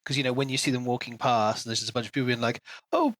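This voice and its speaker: A man's voice: mid-range voice, rapid-fire, dry recording. A man co-hosting a book podcast, talking casually and animatedly, often slipping into mock dialogue to make his point. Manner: animated, acts out imagined dialogue mockingly. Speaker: podcast co-host reviewing books.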